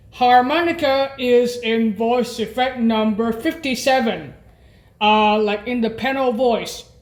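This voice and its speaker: A woman giving an informal, unscripted on-screen walkthrough, hesitant and often repeating and correcting herself. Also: a little high. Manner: frequent throat clearing. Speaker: video creator and presenter.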